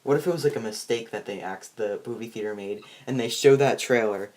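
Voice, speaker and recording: A man's voice: lowish voice, brisk, darkish tone. Rambling man hosting a casual movie review. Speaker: host of a movie review channel.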